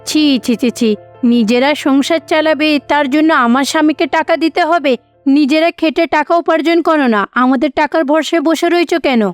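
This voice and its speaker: A cartoon mother-in-law, older and hard-hearted, acted out by a woman. Her voice sits high and cuts sharply as she bosses and scolds. The recording is dry, without any room sound. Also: normal speed, dry recording, faint music behind, lightly acted. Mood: angry scolding.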